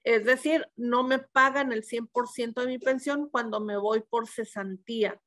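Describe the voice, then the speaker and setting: A woman's voice: on the high side, a bit bright. Methodical woman explaining pension rules. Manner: methodical, many fillers and self-corrections. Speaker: accountant giving a webinar.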